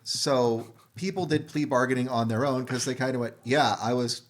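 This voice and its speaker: Male panelist, medium pitch, casual but pedantic, makes his case with dry wit. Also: normal speed. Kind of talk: casual gaming debate.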